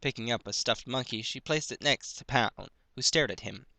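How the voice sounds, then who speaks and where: A man's voice: medium pitch, normal speed, creaky, sharp tone, no echo. A man narrating a piece of fan fiction, reading it aloud as a story.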